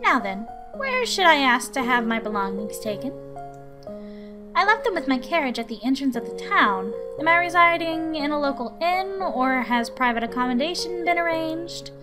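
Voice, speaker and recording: A woman's voice: high voice, lively intonation, moderate pace, music in the background. A woman voicing a formal, proud, dutiful tutor in a visual novel, with precise wording. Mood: brisk, frank.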